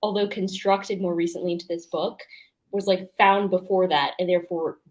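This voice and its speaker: A woman guest on a live book show, discussing casually in a thoughtful, halting way and often correcting herself. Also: lowish voice, fairly even intonation, a little mellow.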